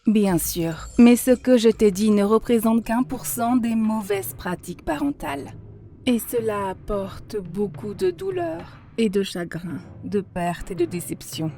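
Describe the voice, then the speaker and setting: A woman voicing an earnest female mentor in a cartoon, lecturing in a measured, instructive way. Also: mid-range voice, lively intonation, dark tone. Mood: earnest, didactic. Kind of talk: dubbed cartoon dialogue, didactic monologue. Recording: music behind the voice.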